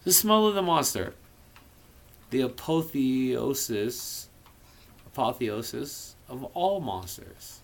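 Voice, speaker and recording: Animated man reading aloud at an unhurried pace. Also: medium pitch, gravelly. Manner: theatrical, playful, irreverent. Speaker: amateur reader of a novel. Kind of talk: casual book reading with ad-lib asides.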